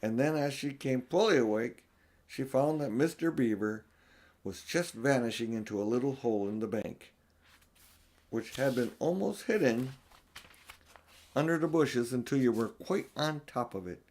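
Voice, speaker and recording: An older man reads a children's story aloud as an audiobook narrator. His pitch sits in a middle range, and he tells the tale expressively. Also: normal speed, darkish tone. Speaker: audiobook reader.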